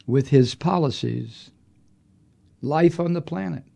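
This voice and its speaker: A man with a gravelly, mellow-toned voice rants on his podcast. He is angry and profane, and he hammers on his words. His delivery is political commentary, with a dark timbre underneath. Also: a little high, slow pace. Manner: angry, profane, emphatic ranting. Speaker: podcast host.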